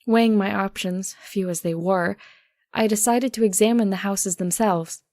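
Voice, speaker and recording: A woman's voice: medium pitch, steady tone, normal speed, a little mellow, no echo, clean studio sound. A woman reading a first-person story aloud as an audiobook narrator.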